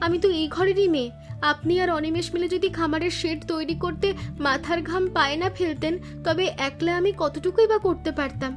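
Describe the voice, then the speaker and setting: A woman's voice: very high voice, steady tone, fairly quick, music in the background. A woman voicing a kind, dutiful young village daughter-in-law, earnest and respectful, in a cartoon drama. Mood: reassuring. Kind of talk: cartoon voice acting.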